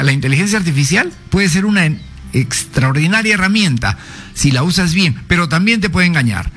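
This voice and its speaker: A man's voice: a little high, fairly bright tone. Older man lecturing on radio. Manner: professorial, measured, cites sources. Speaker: radio guest, former education minister. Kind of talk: explanatory radio interview.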